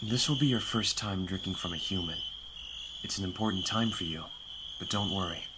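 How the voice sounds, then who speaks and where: A man playing a proud, protective vampire master in an audio roleplay, speaking in a measured, formal, instructive way. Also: low voice, heavy background noise, lightly acted. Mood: calm, instructive.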